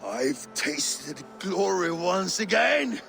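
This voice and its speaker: A very creaky-voiced drunk Viking for a video game, pitched high for a man. He rambles and declaims slowly, boisterous but wistful, with music clearly playing behind him. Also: big pitch swings, fairly bright tone, full character voice. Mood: melancholy. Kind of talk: video game dramatic acting.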